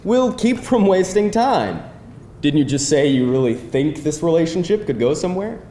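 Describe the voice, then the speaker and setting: In a roomy, echoing space, a man acts out a cocky, impatient boyfriend around thirty. His voice is high and swings about in pitch, wheedling and playful as if in a stage reading. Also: sing-song, normal speed, dark tone. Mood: persuasive. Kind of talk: live screenplay table read. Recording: roomy live hall, faint rumbling noise.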